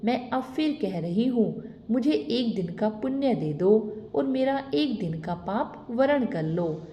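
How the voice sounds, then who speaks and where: A woman's voice: fairly high pitch, expressive, takes it slowly, mellow. A woman voicing a mysterious woman in a vision who reproaches and insists. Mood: reproachful. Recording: echoey reverberant room.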